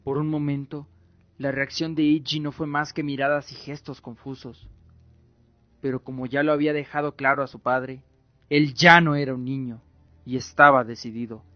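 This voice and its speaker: A man's voice: mid-range voice, steady tone, normal speed, dry recording. A man reading dramatic scripted narration as the narrator of a radio drama. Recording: muffled audio with music. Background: faint music.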